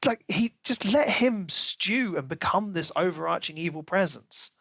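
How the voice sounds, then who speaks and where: A man co-hosting a casual book podcast, giving opinions in an animated, emphatic way with sarcastic asides. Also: high-pitched, unhurried, vocal fry, no echo. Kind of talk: casual book review chat.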